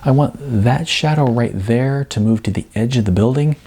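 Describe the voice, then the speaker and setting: A man's voice: mid-range voice, moderate pace, mellow, slightly rough. A man voicing a focused young woman filmmaker in an audiobook, intent and matter-of-fact.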